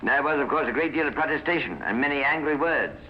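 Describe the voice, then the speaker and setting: A man giving measured, wry scripted narration for a 1950s radio crime drama, muffled and hissy. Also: on the high side, expressive.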